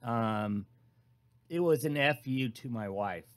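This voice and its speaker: Man, medium pitch, radio co-host, candid casual storytelling, conversational delivery. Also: expressive, slow.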